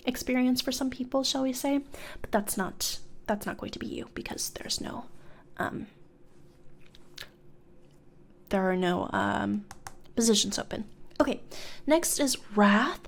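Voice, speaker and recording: Girl doing a demon hr lady, soft asmr half whisper, blasé n polite, kinda dry corporate and teasing, bit hesitant. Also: mid-range voice. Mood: matter-of-fact, coy. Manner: hesitant, casual, dryly bureaucratic. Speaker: ASMR role-play performer. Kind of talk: soft-spoken ASMR role-play, partly whispered.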